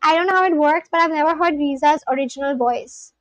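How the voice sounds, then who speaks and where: A young woman, a native Bengali speaker, talks casually into a close microphone with a high, light voice. She gasps and exclaims with excitement as she reads out comments. The sound is dry, with no room echo. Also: fast talker. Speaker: live streamer learning Spanish. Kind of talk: casual live-stream chat reading comments.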